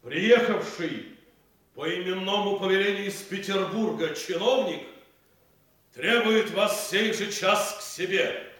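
An actor playing a stern gendarme making a formal official proclamation in an audio stage play. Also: male voice, high voice, takes it slowly, fairly bright tone. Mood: stern announcing. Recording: very echoey, distant, hissy stage sound.

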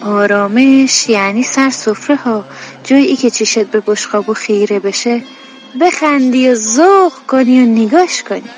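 An actress playing an exasperated but affectionate homemaker in a radio drama, speaking colloquial Iranian Persian in a scolding yet fond way. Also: female voice, a little high, natural voice, low-quality recording. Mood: wistful. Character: exasperated, affectionate homemaker woman talking to children. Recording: music bed behind the voice.

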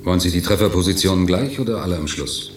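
A man's voice: low-pitched, quick pace, close to an everyday voice. A man playing a tormented, terse test subject in a radio play, with a clipped, grave manner. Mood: detached, mechanical. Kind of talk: German radio play acting. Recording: echoing room with music behind.

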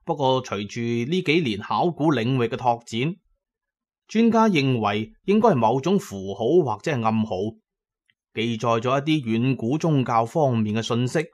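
A man's voice: mid-range voice, lively intonation, moderate pace. A man with a Cantonese accent narrating a scripted first-person adventure story. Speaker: audiobook narrator.

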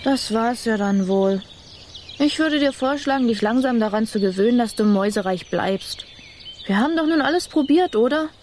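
A woman's voice: on the high side, animated, normal speed, darkish tone, a little hiss or hum, lightly acted. A woman playing a stubborn, cheeky female mouse who is a reluctant adventurer in a children's radio play. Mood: sulky. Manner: sassy, contrary.

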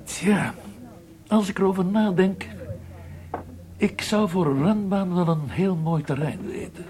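An obliging brigadier general in a radio play. His voice sits somewhat high for a man, and he talks in a musing, confiding, unhurried way, like a companion over drinks. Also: a crowd in the background. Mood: amused, musing. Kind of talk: Dutch radio drama acting, conversational.

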